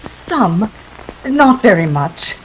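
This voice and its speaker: A woman's voice: mid-range voice, sing-song, extremely slow, a little hiss or hum, low-quality recording. A woman playing a scheming, seductive femme fatale in a 1940s-style radio drama, with melodramatic pleading and world-weary guile. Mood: coy, evasive.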